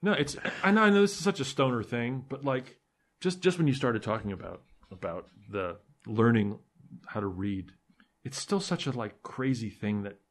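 A man whose first language is Ukrainian, chatting casually in an animated, rambling way with many fillers. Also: medium pitch, normal speed. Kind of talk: casual group discussion.

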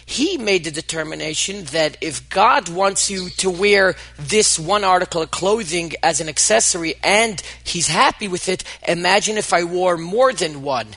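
A man's voice: sits high, moderate pace, few pauses. A man with a Yiddish-influenced accent, Hebrew his first language, telling jokey stories as a guest on a talk show. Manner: animated storytelling, joking. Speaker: podcast guest. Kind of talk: casual chat.

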